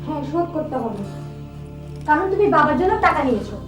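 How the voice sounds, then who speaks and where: A woman's voice: high-pitched, sing-song, fast. An actress playing an outspoken, stubborn young woman in a television drama. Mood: insistent. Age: young adult. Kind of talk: TV drama acting. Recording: roomy echo, faint music behind.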